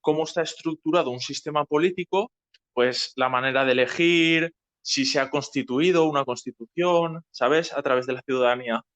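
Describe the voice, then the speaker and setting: A man with a Peninsular Spanish accent hosts an informal discussion. His voice sits a little high, and he explains in a didactic way, adding small checks that the listener follows. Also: fairly bright tone, lo-fi sound. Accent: Spain Spanish. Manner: didactic, checking understanding with tags. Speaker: host. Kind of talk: casual explanatory talk.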